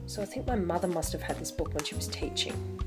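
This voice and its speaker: A woman's voice: on the low side, expressive, quick pace, over loud music. A woman in her twenties hosting an online video, talking casually and reading a picture book aloud with theatrical emphasis. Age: 20s.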